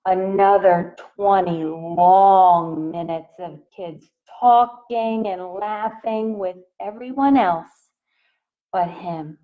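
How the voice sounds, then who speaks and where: A woman reading a picture book aloud expressively to children in a teacherly way, pausing to put questions to them. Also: medium pitch, narrow, tinny audio. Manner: asks young listeners questions.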